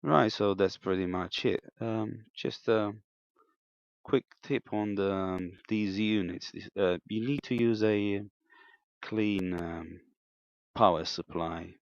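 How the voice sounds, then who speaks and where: Deep gravelly man in an echoey room. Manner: hesitant, halting, thinking aloud. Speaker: amateur radio hobbyist demonstrating equipment. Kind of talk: unscripted hands-on equipment demo. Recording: dull, lo-fi, echoey room sound.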